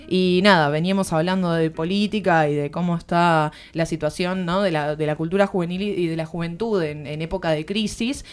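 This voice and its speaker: A woman's voice: fairly low pitch, fairly quick, hardly any pauses, clean tone. A woman with an Argentine accent giving a conversational book review as a radio columnist, explaining earnestly and searching for words. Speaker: literary columnist on a radio show. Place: radio studio.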